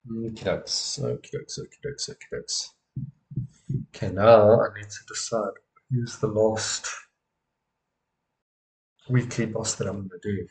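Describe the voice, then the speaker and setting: A man's voice: fairly low pitch, steady tone, measured pace, gravelly, long pauses, darkish tone, low-quality recording. A man giving casual live gameplay commentary, muttering to himself and often repeating words. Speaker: game streamer. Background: game music.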